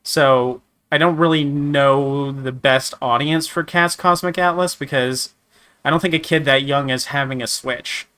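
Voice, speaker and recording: A man with a slightly raspy voice from a sore throat, chatting casually while reviewing games, rambling with many restarts and fillers. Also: mid-range voice, fairly even intonation, measured pace, pushed and bright. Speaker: gaming streamer. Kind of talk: casual game review chat.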